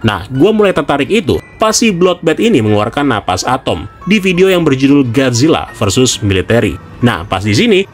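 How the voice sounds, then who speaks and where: A man narrates a semi-casual scripted explainer, speaking directly to viewers in a conversational way. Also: a little high. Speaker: video narrator. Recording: music behind the voice. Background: background music.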